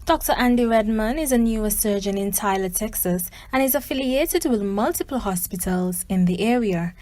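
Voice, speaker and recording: TV news reporter, female, medium pitch, delivering scripted narration. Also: animated, moderate pace, darkish tone, slight background noise.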